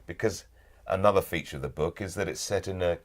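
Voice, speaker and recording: British male narrator as guest, low voice, thoughtful, measured, emphatic phrasing, casual chat. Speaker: guest, actor and audiobook narrator.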